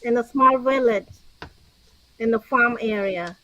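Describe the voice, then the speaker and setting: A woman's voice: a little high, measured pace, pushed and bright, lo-fi sound. An older woman from Cambodia with a Cambodian accent, answering conversationally as an interview guest. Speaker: podcast guest, author and speaker.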